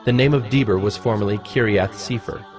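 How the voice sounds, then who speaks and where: A man narrating scripture from a script in a measured, formal manner. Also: fairly low pitch. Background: music.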